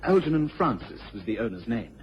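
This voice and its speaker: A man's voice: medium pitch, very animated, brisk, natural voice. A man playing an earnest, respectful young English police inspector in a radio drama. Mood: grave, respectful. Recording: dull vintage radio recording, faint noise.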